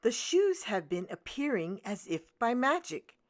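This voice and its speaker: A woman voicing a kindly, grateful shoemaker in a children's fairy tale, in an earnest, measured way. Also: on the high side, sing-song, pitched above the performer's own voice. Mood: puzzled. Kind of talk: children's storybook read-aloud.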